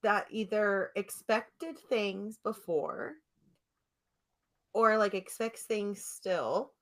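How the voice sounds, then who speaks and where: A woman's voice: medium pitch, extremely slow. A woman co-hosting a podcast in a casual chat, talking in a rambling, teasing way. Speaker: podcast co-host.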